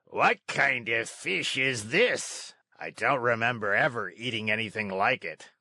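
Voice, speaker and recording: A man acts out an ugly green monster of a fisherman from a children's storybook. He is greedy and pompously polite, lingering slowly over his words in a dry, close recording. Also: medium pitch, pushed and bright, dry recording, heavily acted. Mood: puzzled, greedy. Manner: slow, savoring, pompous. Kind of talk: children's storybook read-aloud.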